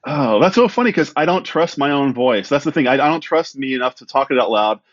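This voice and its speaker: A man with a medium-pitched voice talks casually as an interview guest, rambling in a self-deprecating way. The sound is close and dry, a little dull. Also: animated, quick pace, no room sound. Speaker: podcast guest, writer.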